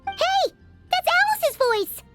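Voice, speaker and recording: A woman voicing a small, childlike, excitable fairy companion in a video game, squeaky and chatty. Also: slow pace, loud music behind the voice, exaggerated voice. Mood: surprised. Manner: exclamatory, refers to self in third person.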